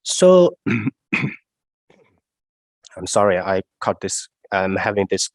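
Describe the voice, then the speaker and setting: A man researcher answering questions after his talk, his voice nasal from a cold, halting with many fillers. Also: lowish voice, sing-song, darkish tone, no room sound. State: congested, nasal voice. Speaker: research scientist presenting.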